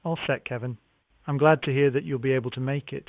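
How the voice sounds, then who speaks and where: A man handles a scripted property sales call at a brisk clip. He is courteous and formulaic, acknowledging before each question. The sound is a thin telephone line with very little room in it. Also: mid-range voice, fairly even intonation, no echo. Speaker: real estate acquisitions phone agent. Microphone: phone call. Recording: narrow, tinny phone line.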